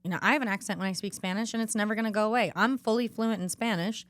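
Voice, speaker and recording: A woman's voice: medium pitch, racing, no echo. A young woman co-hosting a podcast in casual chat, playful and giggly, with a lot of "like". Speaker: podcast co-host.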